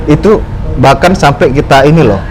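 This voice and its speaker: A man in his thirties from Medan, hosting a casual chat about his childhood, animated and teasing, laughing often. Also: on the high side, normal speed. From Medan, Indonesia. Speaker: vlogger host. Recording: noisy with passing traffic.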